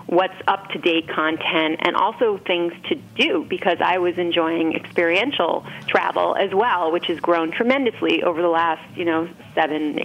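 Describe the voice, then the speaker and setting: Muffled, noisy recording of a lower-pitched woman. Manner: explanatory, often interjecting "you know". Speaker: interview guest, food writer and entrepreneur. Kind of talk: casual interview talk. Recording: muffled, band-limited with clear background noise.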